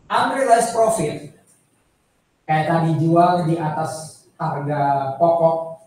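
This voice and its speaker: A man's voice: a little high, darkish tone. A man with an Indonesian accent giving an informal lecture, repeating his points and posing questions to his listeners as he explains. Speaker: accounting lecturer. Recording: echoey room, distant microphone.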